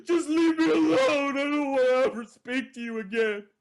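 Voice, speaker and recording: A man playing a humiliated teenage boy, sobbing and shouting melodramatically at his mother in a comic story. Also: very high voice, normal speed, pitched above the performer's own voice. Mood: tearful outrage. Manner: wailing, melodramatic.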